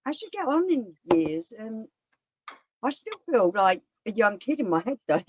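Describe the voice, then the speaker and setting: A woman's voice: on the high side, very animated, normal speed, a little mellow, a little hiss or hum, rough recording. A woman in her forties chatting casually as a guest on a video call. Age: 40s. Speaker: guest on a chat show. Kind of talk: casual chat. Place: living room, indoors. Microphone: video call, sitting back from the camera.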